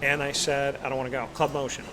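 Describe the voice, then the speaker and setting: A man hosting a casual live talk show, telling animated stories and asking a guest questions. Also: medium pitch, fast, rough recording. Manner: chatty storyteller, animated asides. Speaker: host. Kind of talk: casual interview chat. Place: busy restaurant. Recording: loud crowd chatter behind.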